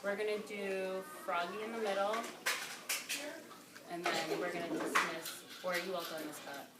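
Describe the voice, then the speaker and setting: A woman's voice: on the low side, little pitch movement, moderate pace. A woman working as a preschool teacher, talking to young children in simple, instructive phrases with repetition. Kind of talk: reading a picture book aloud and questioning young children. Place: classroom, indoors. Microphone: phone camera at a distance. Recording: roomy, distant phone video, loud background noise.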